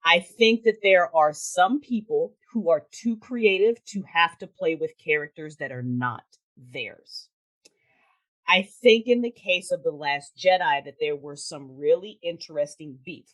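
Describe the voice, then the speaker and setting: A woman in a casual, opinionated film chat, talking in an emphatic, blunt way and swearing freely. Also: on the low side. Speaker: guest, audio drama creator.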